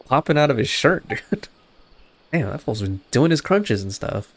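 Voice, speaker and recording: A man's voice: on the high side, sing-song, moderate pace. A man doing casual, slangy, joking reaction commentary as he streams a game. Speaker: gaming streamer. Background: game music.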